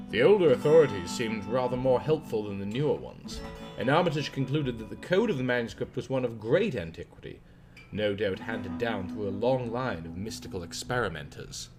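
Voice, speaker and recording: A man reading a literary horror story as an audiobook narrator, in a measured, formal delivery. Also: mid-range voice. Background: guitar music.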